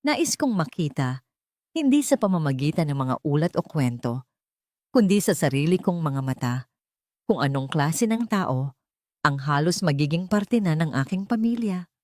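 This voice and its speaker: Older tycoon patriarch, read by a woman, calm and cutting, weighty deliberate lines, audio storybook, dry sound. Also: deep voice, no echo. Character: authoritative older male billionaire, calm, cutting, commanding.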